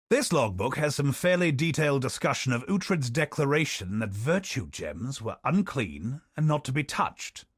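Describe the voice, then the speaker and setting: An actor playing a curious, scholarly male explorer who speaks in dry, wry asides with a measured storytelling delivery. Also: mid-range voice, fairly bright tone, breathy edge, no room sound. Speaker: expedition companion character. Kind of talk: studio video game voice lines.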